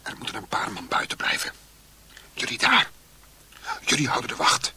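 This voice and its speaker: A man's voice: medium pitch, wildly swooping pitch, normal speed, pushed and bright, a little hiss or hum, full character voice. An actor playing a cautious bourgeois man who bossily leads armed followers with clipped commands in a radio drama. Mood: tense commanding.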